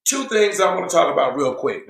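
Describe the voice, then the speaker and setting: A man's voice: sits high, sing-song, a little fast, creaky, a bit bright. Echoey, slangy man hosting a show. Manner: laid-back slangy talk, many restarts. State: losing his voice. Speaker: show host. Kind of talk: casual online show chat.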